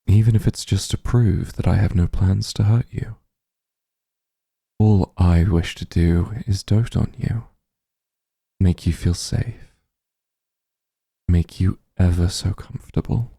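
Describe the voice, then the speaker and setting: A man plays a softly dominant demon lord in a comforting ASMR roleplay. His voice is low and extremely dark, with heavy husky breathiness. He coaxes and dotes possessively, tender and unhurried, right up at the mic. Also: steady tone, no room sound, clean studio sound, heavily acted. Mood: teasing, doting. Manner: tender, coaxing, teasing, hushed. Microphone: close microphone, dry.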